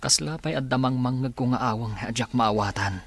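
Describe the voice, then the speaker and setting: A man playing a sick young grandson in a radio drama, tired and quietly complaining. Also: mid-range voice, moderate pace. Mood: weary.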